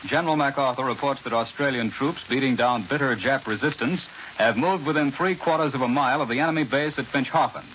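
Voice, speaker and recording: A man's voice: mid-range voice, fast talker, a bit bright, muffled recording. An American man anchoring and interviewing in the scripted style of 1940s radio news, on an old radio recording. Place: New York radio studio. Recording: hissy, band-limited 1940s radio recording.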